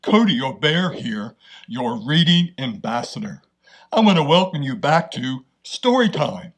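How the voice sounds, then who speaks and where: A man's voice: medium pitch, sing-song, unhurried. A man playing a friendly bear character who hosts a children's storytime, welcoming young listeners. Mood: cheerful. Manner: welcoming, addresses children directly. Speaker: host. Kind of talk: scripted children's show introduction.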